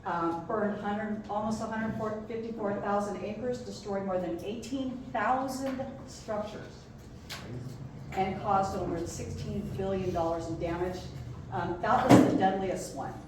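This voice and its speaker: A woman's voice: mid-range voice, measured pace. Chatty woman presenting in a very echoey room. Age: middle-aged. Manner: chatty, colloquial. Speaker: insurance agent, guest speaker. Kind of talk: informal presentation to an audience. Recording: very echoey room, distant mic, noisy.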